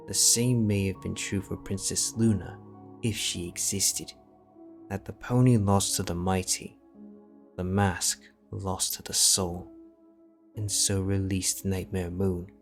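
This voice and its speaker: A man narrating a fantasy fanfiction audiobook in a measured, solemn manner. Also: sits low, fairly even intonation, creaky. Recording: soft background music.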